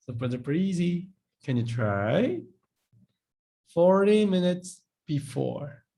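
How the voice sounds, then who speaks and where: A man who speaks English, teaching in a live online class. He explains patiently, repeats words slowly and acts out short dialogues. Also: fairly high pitch, animated, vocal fry, dark tone. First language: Korean. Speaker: Korean language teacher.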